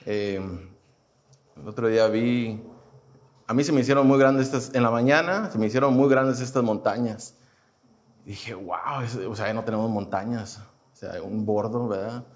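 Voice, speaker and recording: A man in his thirties from Houston, sharing his personal testimony at a mid pitch. He talks casually and haltingly, with fillers and false starts all through. The recording is muffled and lo-fi. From Houston, United States. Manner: hesitant, many fillers and restarts. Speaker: churchgoer giving a testimony.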